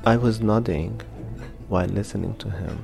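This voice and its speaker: A young man from Egypt narrating a personal story in a quiet, reflective, plain way. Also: fairly low pitch, normal speed, creaky, over music.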